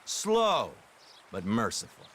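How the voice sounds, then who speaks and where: An actor playing a cold, menacing government lawman who speaks in a crisp, clipped, coldly polite way. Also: mid-range voice, huge pitch swings, slow pace, noisy background. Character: cold, menacing male lawman, polished and contemptuous. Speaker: government agent. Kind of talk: video game cutscene acting.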